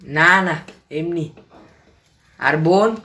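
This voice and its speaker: Guy doing clingy son on phone to mom, bright voice, terse casual replies. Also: a little high, very slow, exaggerated voice. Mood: clingy, insistent. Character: clingy, soft-spoken grown son on phone to mother. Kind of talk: staged phone-call drama, casual domestic chat.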